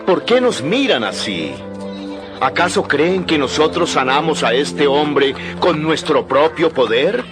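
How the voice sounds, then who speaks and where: An actor playing a fervent apostle preaching boldly and emphatically to a crowd in a dramatized Bible recording. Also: male voice, fairly high pitch, very animated, unhurried, music in the background. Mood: earnest reproach. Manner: emphatic, declamatory, questioning.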